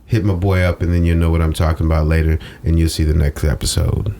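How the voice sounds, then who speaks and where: A man's voice: a bass voice, little pitch movement, fast talker, mellow. A man talking casually as an interview guest, slangy and emphatic, repeating phrases for effect. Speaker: interview guest, social media strategist. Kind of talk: animated casual talk.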